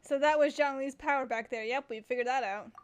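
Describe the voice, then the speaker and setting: A woman's voice: high voice, fairly quick, smooth, dry recording, highs cut off. A woman gamer giving casual, emotive reactions to game cutscenes in short exclamations. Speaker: gaming video creator.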